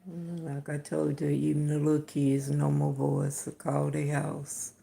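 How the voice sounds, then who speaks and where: An older woman talks slowly and warmly to her livestream viewers, answering their comments from home in the evening. Her voice is heavily gravelly and mellow, with a distinctive sound of its own. She chuckles easily and keeps a relaxed, affectionate manner. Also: low voice. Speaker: livestream host greeting viewers. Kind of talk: casual chat answering comments.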